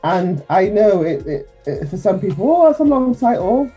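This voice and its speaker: A woman's voice: mid-range voice, big pitch swings, normal speed, mellow, breathy edge, soft background music, rough recording. A woman from England, an author and radio guest, telling her own story in an earnest, reflective way. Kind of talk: radio interview.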